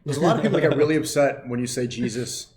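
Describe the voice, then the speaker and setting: A man hosting a casual conversation, relaxed and a little hesitant as he asks questions. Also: medium pitch, very fast.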